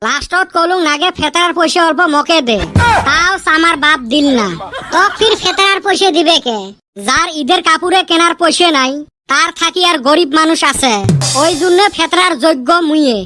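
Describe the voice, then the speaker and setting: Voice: very high voice, moderate pace, sharp tone, soft background music. A performer voicing a cheeky, grumbling cartoon village boy in a rural northern Bengali dialect, bantering in comic dub style. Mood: complaining. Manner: teasing, grumbling. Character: cartoon village boys bickering over Eid shopping, cheeky and broke. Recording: clearly noisy background with clicks.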